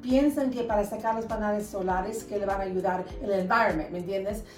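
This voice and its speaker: A woman's voice: mid-range voice, a little fast. A woman in her fifties from the United States answering interview questions conversationally as a lawyer, dropping English words into her Spanish. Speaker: lawyer, podcast guest. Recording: echoey room, distant mic, music.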